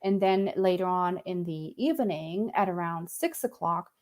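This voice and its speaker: A woman's voice: fairly low pitch, normal speed, darkish tone. A middle-aged woman from Germany, a nutritionist explaining in a casual talk to camera, thoughtful and hesitant, with frequent fillers and restarts.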